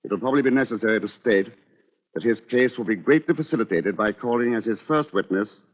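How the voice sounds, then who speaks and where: An actor playing a coldly arrogant solicitor in a vintage radio thriller, formal, articulate and sardonic, on an old radio recording. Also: male voice, lowish voice, a little fast, natural voice. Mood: cold, proud. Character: coldly arrogant English solicitor confessing to murder. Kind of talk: 1940s radio thriller acting.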